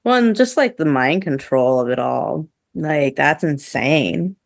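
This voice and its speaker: A woman co-hosting a podcast in a casual review chat, with halting, filler-heavy phrasing. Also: deep voice, expressive, creaky, no room sound, clean studio sound. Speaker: podcast co-host.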